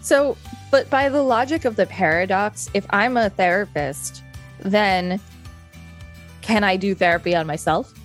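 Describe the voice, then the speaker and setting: A woman's voice: medium pitch, unhurried, faint music behind. A woman co-hosting a podcast, talking in a casual, conversational style. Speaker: podcast co-host.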